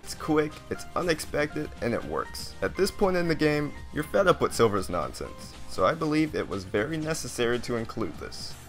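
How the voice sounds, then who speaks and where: A man's voice: mid-range voice, very animated, normal speed, hardly any pauses, soft background music. A man reading a scripted countdown narration as the host of a video.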